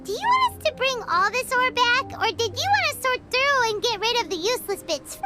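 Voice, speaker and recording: A woman voicing a tiny, excitable, childlike floating fairy girl with a squeaky, exclamatory delivery in a video game. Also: brisk. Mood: chatty, eager. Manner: excitable, exclamatory, refers to self by name. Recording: loud music behind the voice.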